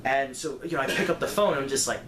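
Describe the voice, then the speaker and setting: A man's voice: medium pitch, fast talker. A man telling a personal story casually to viewers, acting out bits of dialogue as he goes. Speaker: storyteller vlogger. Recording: roomy echo, clear background noise.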